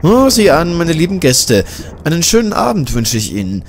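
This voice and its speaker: A man voicing an ageing, slight male scientist who talks in a courteous, flattering way. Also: a little high, moderate pace, slight background noise, pitched above the performer's own voice. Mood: smooth, flattering.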